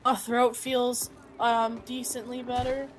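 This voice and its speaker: High-voiced sick, congested woman. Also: flat delivery, takes it slowly. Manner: complaining, blunt, swears. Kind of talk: casual voice chat.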